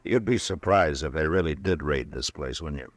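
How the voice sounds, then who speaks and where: An actor playing a steady, dryly skeptical American frontier marshal in a radio western, speaking in an understated, unhurried way. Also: male voice, rumbling low. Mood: resolute, calm. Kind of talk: old-time radio western acting.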